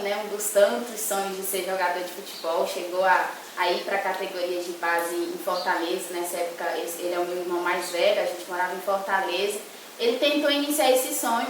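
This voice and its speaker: A woman's voice: medium pitch, fairly bright tone. A young woman with a northeastern Brazilian accent giving conversational interview answers as a guest, often tagging phrases with a short checking question. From Ceará, northeastern Brazil. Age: young adult. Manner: frequently tags phrases with a checking "right?". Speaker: interview guest, sports commentator and journalism student. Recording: very echoey room, distant mic, faint hiss.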